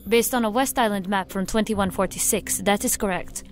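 A woman's voice: mid-range voice, fairly quick, a little hiss or hum, close to an everyday voice. A woman playing a businesslike technical agent in a science fiction audio drama, formal and precise in her speech.

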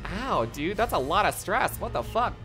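Hoarse, excitable swearing game streamer. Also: medium pitch, normal speed, music in the background. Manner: excitable, profane, reacts loudly to gameplay. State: voice getting hoarse. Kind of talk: casual live-stream commentary.

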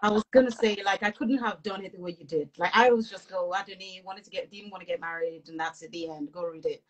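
Young nigerian woman author on a video call, lowish breathy voice, laughs it off. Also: quick pace, low-quality recording. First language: Yoruba. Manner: modest, laughing, thoughtful. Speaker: author guest. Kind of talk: casual interview. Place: living room with couch.

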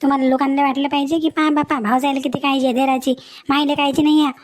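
A woman acting a young village woman in a cartoon family drama. Her voice is high, and she talks in an earnest, chatty, practical way. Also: flat delivery, quick pace, lightly acted. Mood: worried, complaining.

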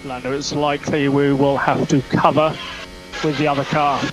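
A man's voice: medium pitch, flat delivery, moderate pace, over music. A man working as a race engineer, giving terse, clipped technical instructions over team radio. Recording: noisy motorsport team radio.

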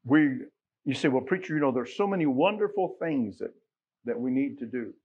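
A middle-aged man with an American accent preaching a sermon in a folksy, conversational way, leaning on emphatic rhetorical questions, with a husky voice. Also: medium pitch, expressive, moderate pace, narrow, tinny audio. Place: church sanctuary, at a pulpit.

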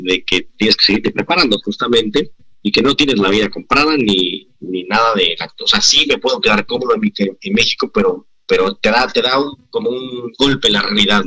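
Man, 40s, Mexican accent, bright timbre, earnest, video call. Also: lowish voice, rough recording. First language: Spanish. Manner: earnest, reflective, many restarts. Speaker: actor guest. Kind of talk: casual interview over video call. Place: indoor room.

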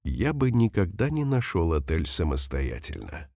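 Low gravelly guy reading lesson sentences, swoopy lively intonation, dry close sound. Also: moderate pace, dry recording. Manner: careful, clearly separated delivery, each sentence said twice. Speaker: language teacher reading example sentences. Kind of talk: slow repeated phrase reading for learners.

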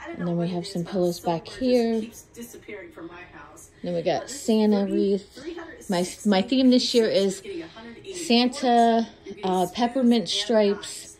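A woman's voice: medium pitch, dark tone, low-quality recording. A woman getting over a cold, sounding a little congested, chatting casually as she films a home tour and says where she bought each item. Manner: chatty, listing where items were bought. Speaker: home vlogger. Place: house interior, walking room to room. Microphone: handheld phone camera.

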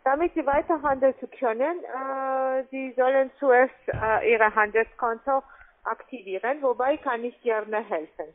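A woman with a fairly high voice speaks as a non-native telephone sales agent. Her pitch is formal and halting, heard through a narrow, tinny phone line. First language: not German. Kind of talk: sales pitch on a cold call.

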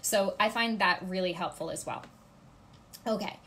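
A woman in her thirties with a congested voice, giving casual writing tips to camera from her home office, chatty and full of ums and quick check-ins. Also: medium pitch, sharp tone, a little hiss or hum. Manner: chatty, gestures a lot, many ums and "okay?" check-ins. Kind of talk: casual instructional vlog to camera. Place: home office with ceiling fan, indoors.

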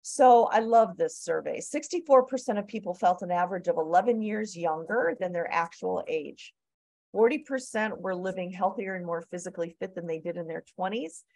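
A woman's voice: on the low side, normal speed, gravelly, a little mellow, no echo. A middle-aged woman, a surgeon, giving an enthusiastic webinar talk over slides on a video call, with occasional uh and um. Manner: enthusiastic, fillers like uh and um. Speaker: orthopedic surgeon presenting research. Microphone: computer mic on a video call.

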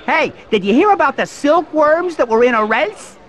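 A man's voice: very high-pitched, expressive, normal speed. A man voicing a wisecracking bug comedian in a cartoon game, telling jokes in a hammy way. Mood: jokey. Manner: jokey, hammy delivery. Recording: music and bar crowd behind voice.

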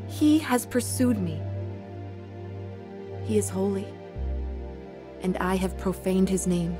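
A woman's voice: mid-range voice, natural voice. An actress playing an earnest young woman teacher who narrates her own faith story in a radio drama. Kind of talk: dramatized first-person testimony narration. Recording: new-age music behind the voice.

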